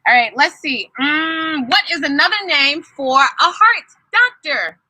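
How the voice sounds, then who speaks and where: A woman's voice: sits high, lively intonation, a little slow, pushed and bright, poor audio. A woman storyteller reading aloud to children and quizzing them, in an encouraging, teacherly way with frequent questions to her listeners. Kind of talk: animated children's story time read-aloud.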